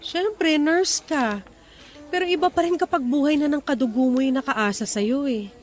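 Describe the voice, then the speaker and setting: A woman's voice: high-pitched, normal speed, natural voice. A woman with a Filipino accent playing a stubborn, protective young wife and mother in a radio-style drama. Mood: weary, worried. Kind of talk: radio-style romance drama acting in dialogue. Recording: music under the voice.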